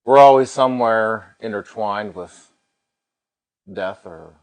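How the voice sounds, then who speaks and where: A man's voice: fairly low pitch, slow pace, a little mellow, rough recording. A middle-aged American man hosting a paranormal livestream in a casual, folksy way, repeating himself as he shows a product.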